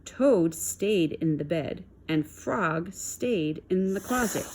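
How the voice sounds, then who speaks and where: Expressive, slow-paced woman reading to children. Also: on the low side, breathy edge. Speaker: storybook reader for children. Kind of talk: expressive children's read-aloud.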